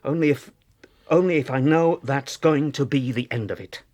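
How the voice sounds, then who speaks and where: A man's voice: medium pitch, moderate pace, a little mellow, natural voice. A British actor playing a cowardly, panicky middle-aged professional man in a radio drama, pleading hesitantly and repeating himself. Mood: agitated. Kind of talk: naturalistic radio drama acting.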